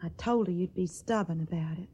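An actress playing a devoted, anxious young daughter in a radio drama. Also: female voice, fairly low pitch, brisk, mellow, husky. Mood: coaxing, anxious.

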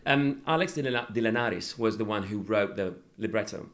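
A man from Sicily, an actor being interviewed, talking casually with many pauses and restarts. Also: lowish voice, a bit bright. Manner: hesitant, many fillers and restarts. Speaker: actor guest.

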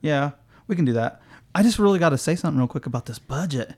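A man's voice: a little high, lively intonation, fast talker, a little mellow, breathy edge. A man from Indiana co-hosting a casual movie podcast, laid-back and joking, with an Indiana accent. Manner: laid-back, joking, self-conscious about his speech. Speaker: podcast co-host. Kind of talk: casual chat.

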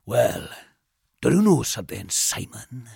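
A man's voice: lowish voice, big pitch swings, unhurried, creaky, a little mellow, slightly rough, dry recording, studio quality, heavily acted. A man voicing a menacing British hard lad in an audiobook, taunting and lingering, often dropping to a sinister whisper. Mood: quietly bullying. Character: menacing, taunting male hard lad leading frightened boys.

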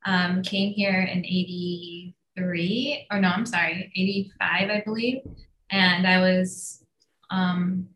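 Bright-voiced woman in a roomy room. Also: fairly low pitch, flat delivery, takes it slowly. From Iran. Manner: chatty, many fillers and restarts. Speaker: guest entrepreneur. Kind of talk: casual storytelling. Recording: roomy echo.

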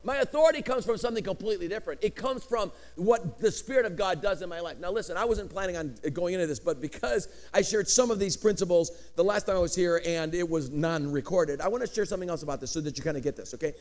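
Animated middle-aged preacher with a high voice. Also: male voice, fast, few pauses. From Chicago. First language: Greek. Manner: animated, swinging inflections up and down, joking asides. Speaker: pastor. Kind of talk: sermon.

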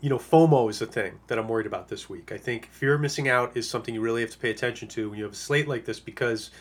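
Hoarse man rambling about fantasy picks. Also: mid-range voice, rapid-fire. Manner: rambling, lots of uh and you know. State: hoarse, losing his voice. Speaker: fantasy football analyst. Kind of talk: casual podcast monologue.

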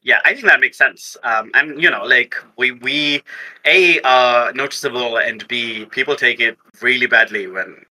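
Very bright-voiced man chatting over a game. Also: medium pitch, measured pace, low-quality recording. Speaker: tabletop game player. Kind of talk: casual game-table chat.

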